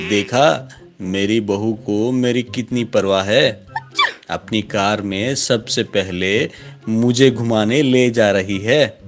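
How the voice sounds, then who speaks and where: Man playing an older, slow-talking father-in-law. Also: lowish voice. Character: older father-in-law, gullible, cheerful, easily alarmed. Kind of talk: animated Hindi moral story character voice.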